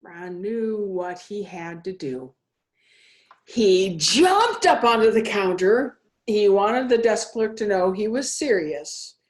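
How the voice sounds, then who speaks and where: A woman reading a children's story aloud in a deliberate, expressive way, as a guest minister. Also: medium pitch. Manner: slow, deliberate, expressive phrasing. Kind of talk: storybook read-aloud for all ages.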